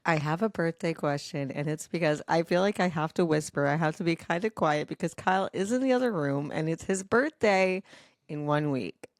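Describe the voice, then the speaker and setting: A woman with a fairly low voice talks casually as a podcast co-host. Her sound is dry and free of room echo. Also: lively intonation, normal speed. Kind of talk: casual chat.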